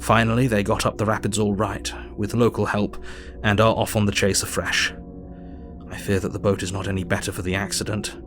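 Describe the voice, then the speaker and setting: A man plays a Victorian doctor narrating his diary in an audio drama. The voice is on the low side and flat in melody, formal and restrained. Music plays clearly behind it. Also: brisk, natural voice. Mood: worried. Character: sober Victorian doctor keeping an anxious diary.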